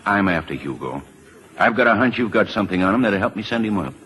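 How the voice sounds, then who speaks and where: A folksy lawman in a vintage radio play. His low voice rises and dips widely as he probes in an informal, halting way, shrewd and persistent. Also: expressive, fairly bright tone. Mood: urging. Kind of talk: old-time radio drama acting. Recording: vintage radio broadcast recording.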